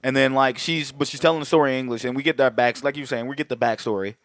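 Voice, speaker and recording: A man's voice: medium pitch, steady tone, rapid-fire, a bit bright, no room sound. A young man co-hosting a podcast, rambling in casual chat with many restarts. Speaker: podcast co-host.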